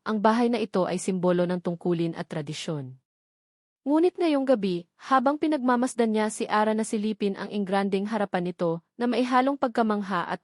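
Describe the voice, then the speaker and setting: Filipina narrator, dry close sound. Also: female voice, medium pitch, dry recording. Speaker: story narrator. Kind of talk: dramatic scripted narration of a love story.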